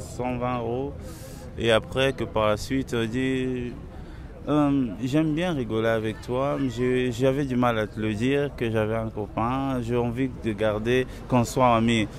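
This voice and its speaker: A man telling a personal story in a halting, hesitant way, recorded outdoors in a city. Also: medium pitch, a crowd in the background. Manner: hesitant, many pauses. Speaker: interviewee. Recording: music playing over.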